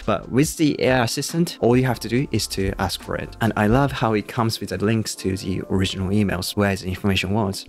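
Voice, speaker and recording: A man in his thirties with a Japanese accent, presenting a scripted review to camera into a close microphone. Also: fairly low pitch. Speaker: YouTube tech reviewer. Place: small room indoors. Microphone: close podcast-style microphone on a boom. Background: background music.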